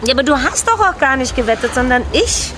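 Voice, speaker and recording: An actress playing a pushy, flippant wife, dismissive and cajoling, in naturalistic radio drama dialogue. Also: female voice, sits high, big pitch swings, fast talker. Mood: defiant, dismissive. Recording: noisy outdoor street with traffic.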